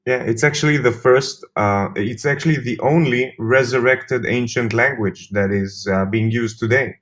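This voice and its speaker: Man explaining calmly. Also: mid-range voice, normal speed. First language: Hebrew. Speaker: interview guest. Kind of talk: casual interview chat.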